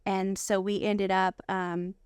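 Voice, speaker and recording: Earnest American woman, clear smooth voice. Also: medium pitch, flat delivery, slow pace, no echo, clean studio sound. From United States. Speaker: podcast guest. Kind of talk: casual personal interview about faith.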